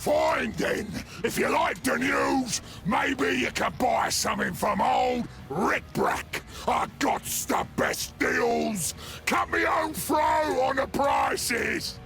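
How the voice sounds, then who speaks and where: A man voicing a sly, jovial ork salesman in a video game, with a raspy Cockney English voice and a wheedling manner. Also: very high-pitched, sing-song, takes it slowly, hardly any pauses, sharp tone, raised voice, soft background music, exaggerated voice. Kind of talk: video game character acting.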